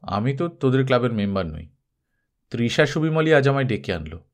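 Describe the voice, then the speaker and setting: A loyal, slightly wounded man confides in a friend in an audiobook story, voiced by a male reader. He is earnest and dry, with lively intonation. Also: medium pitch, brisk. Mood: confiding.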